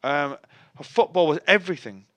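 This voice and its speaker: A man's voice: a little high, expressive, moderate pace, fairly bright tone. A middle-aged man with a Manchester accent, a guest on a chat show, talking in a dry, wry way with many restarts. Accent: Mancunian. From Manchester, England. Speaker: guest musician. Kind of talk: casual interview chat.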